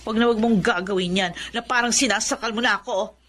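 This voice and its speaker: A woman's voice: fairly high pitch, fairly quick, noisy background, lightly acted. An actress playing a defiant, exasperated young girlfriend in a dramatic Filipino radio drama style. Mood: indignant. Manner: dramatic, indignant. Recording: faint background ambience.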